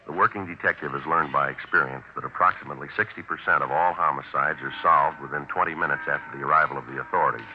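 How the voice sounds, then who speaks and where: An American actor playing a stoic, businesslike police sergeant who narrates his case in clipped, deadpan 1950s radio drama style. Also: rumbling low, fast, few pauses. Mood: matter-of-fact. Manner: deadpan, matter-of-fact. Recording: muffled, noisy 1950s radio recording.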